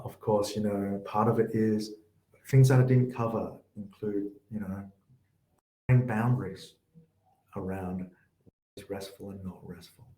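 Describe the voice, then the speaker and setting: A soft-spoken man hosting an online workshop, answering questions gently and reflectively. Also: lowish voice, roomy sound, low-quality recording. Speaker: workshop host.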